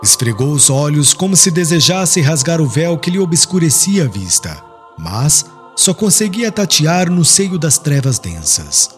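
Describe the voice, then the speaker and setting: A man reading a religious story in a solemn, expressive literary narration. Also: a little high, measured pace, faint music behind. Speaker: audiobook narrator.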